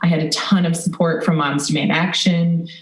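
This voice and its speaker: American woman, lowish voice, echoey room. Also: steady tone, normal speed. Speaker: podcast guest, political advocate and former candidate. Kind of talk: conversational interview.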